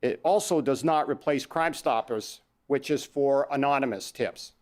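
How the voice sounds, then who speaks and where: A man with a Canadian accent, a police chief giving a formal statement at a press conference, measured and deliberate. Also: medium pitch, a little mellow. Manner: measured, reads numbers out slowly.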